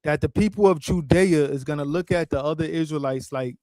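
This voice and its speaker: A man's voice: a little high, darkish tone, no echo. A man leading a group study over a stream, who repeats what is read, explains it and keeps asking his listeners if they follow. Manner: keeps checking in with "right?" and "you feel what I'm saying?"; repeats lines back slowly for emphasis. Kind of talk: casual spoken teaching, read-along commentary. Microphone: streaming setup, close mic.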